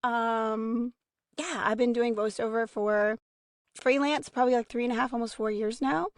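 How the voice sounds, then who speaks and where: A woman's voice: on the high side, steady tone, normal speed, no echo, clean studio sound. A woman recovering from a lost voice, chatting casually as a voice actor guest on a podcast. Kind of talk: casual panel chat.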